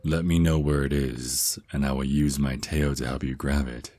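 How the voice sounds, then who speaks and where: A man's voice: very deep voice, steady tone, normal speed, warm tone, no room sound. A man playing a tender, reassuring vampire naga mentor and boyfriend in an ASMR roleplay, speaking soothingly and affectionately. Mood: gentle, encouraging.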